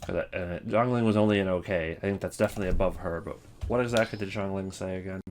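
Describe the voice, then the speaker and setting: Low-voiced male commentator, casual and rambling, working out opinions aloud. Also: fast talker, slight background noise. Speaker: video creator ranking game voice lines.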